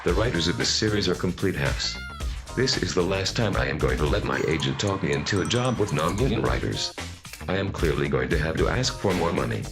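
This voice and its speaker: Music plays behind a jaded serial narrator played by a man. The voice is very deep and gravelly, delivered in a grumbling deadpan. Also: a little fast. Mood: disgruntled. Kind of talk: audio drama narration.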